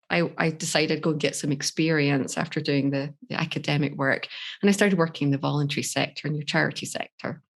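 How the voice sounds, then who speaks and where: A woman's voice: sits low, creaky. A middle-aged Scottish woman, a life coach, telling her personal story in a candid, reflective way on a podcast interview. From Scotland. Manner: reflective, candid, laughs easily.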